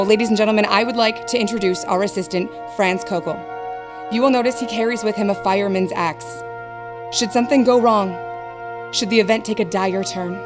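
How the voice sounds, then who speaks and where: A woman's voice: mid-range voice, close to an everyday voice. A woman playing a magician's wife who announces his stage act in a formal, dramatic manner for an audio drama. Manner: formal, dramatic address to an audience.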